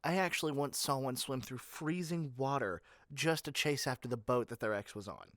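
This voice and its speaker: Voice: medium pitch, moderate pace, a bit bright, no echo, studio quality, natural voice. A performer playing an eager, shady, frazzled salesperson in a scripted audio roleplay, chatty and sardonic with theatrical rambling asides. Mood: casually menacing. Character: eager, shady, frazzled yandere matchmaking salesperson, cynical and fast-talking. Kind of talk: scripted audio roleplay monologue to one listener.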